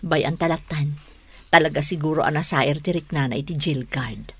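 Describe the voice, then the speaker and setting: A woman's voice: low voice, normal speed, breathy edge. A woman playing a lady's female companion in an Ilocano radio drama. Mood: concerned. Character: older-sister-like female companion of the young lady.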